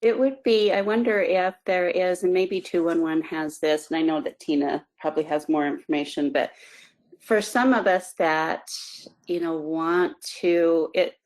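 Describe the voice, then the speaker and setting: A woman's voice: lowish voice, fairly even intonation, measured pace, darkish tone. A woman on a video call asking a long, rambling, hedging question.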